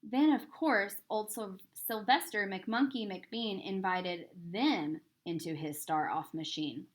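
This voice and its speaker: A woman reading a rhyming children's book aloud in a theatrical, expressive way, stressing the rhythm and drawing out words. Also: mid-range voice, slow. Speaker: storybook reader.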